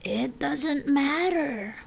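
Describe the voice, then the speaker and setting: A woman voicing a calm, kindly older woman neighbour, gentle, soothing and encouraging, in a children's storybook. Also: sits high, drawn-out words, creaky, pitched above the performer's own voice, heavily acted. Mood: calm, reassuring. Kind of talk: children's storybook read-aloud.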